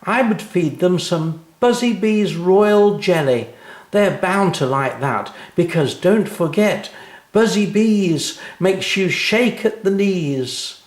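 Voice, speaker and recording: A man voicing a bouncy, stubborn little girl in a children's story, chanting loudly in sing-song. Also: high-pitched, expressive, slow pace, echoey room, voice pushed up. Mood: eager, pleased.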